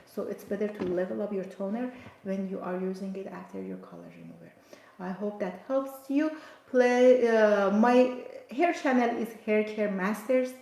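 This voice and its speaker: A woman whose first language is Farsi explains hair color steps in a relaxed live stream, pausing on fillers and restarting words. She talks at a middling pitch, and the sound carries the echo of a roomy space. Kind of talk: live tutorial talk. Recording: echoey room.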